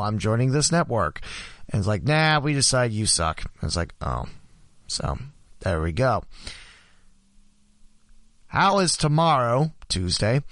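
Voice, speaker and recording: Hoarse man hosting a sports podcast. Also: mid-range voice, no room sound. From Ohio, USA. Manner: self-deprecating, rambling. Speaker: podcast host. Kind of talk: casual sports podcast talk.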